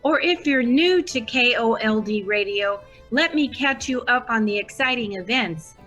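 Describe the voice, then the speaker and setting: A woman's voice: a little high, expressive, moderate pace, a bit bright, soft background music. A woman playing a devoted, gushing superfan who narrates a radio drama in a grandly earnest way. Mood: earnest, excited.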